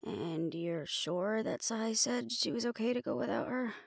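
A woman's voice: fairly high pitch, unhurried, gravelly, slightly rough, no room sound, clean studio sound, full character voice. A woman playing a tough, stubborn female hunter with a wry, defiant, casual manner in an audio roleplay.

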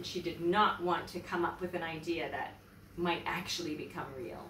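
A middle-aged woman reading aloud to children as a teacher, expressive, emphatic and deliberate. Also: medium pitch. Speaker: teacher, storyteller. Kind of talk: animated children's read-aloud. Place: indoors, book-lined room. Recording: echoey room, distant mic, faint noise.

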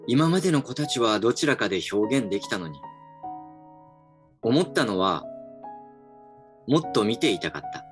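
A man's voice: medium pitch, sing-song, normal speed, long pauses, natural voice. An actor playing a reflective, slightly dejected male employee in a voice drama, polite and musing. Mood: wistful, narrating. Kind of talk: voice drama acting with inner narration. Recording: music behind the voice.

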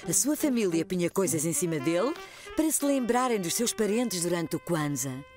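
A woman narrating a preschool cartoon in European Portuguese, her voice mid-pitched and full of lively rises and falls. She tells the story gently while clear children's music plays underneath. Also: moderate pace. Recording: children's music playing behind.

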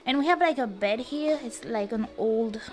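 A woman's voice: a little high, moderate pace. A young woman from Montreal whose first language is French, casually narrating a tour of her apartment with many fillers and loose grammar. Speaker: vlogger giving a home tour. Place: small apartment rooms indoors. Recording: loud background music.

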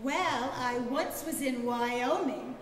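A woman's voice: high-pitched, takes it slowly, over music. A woman playing a flippant, confused coma patient in a live amateur stage comedy. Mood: flippant, puzzled. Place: small theater stage with audience, indoors. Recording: echoey theater stage.

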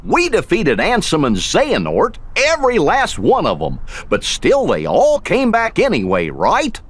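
A good-hearted cartoon dog sidekick in a video game. The voice is husky and high, swooping wildly through its range, folksy and earnest. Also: male voice, wildly swooping pitch, measured pace, exaggerated voice. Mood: earnest reasoning. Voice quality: husky, throaty. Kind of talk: video game cutscene acting.

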